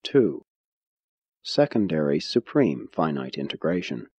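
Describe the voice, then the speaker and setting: A man narrating a religious audiobook in a measured, solemn way with deliberate phrasing. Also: rumbling low, sing-song, darkish tone, husky, dry recording. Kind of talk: scripted narration, religious text reading. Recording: dull, muffled audio.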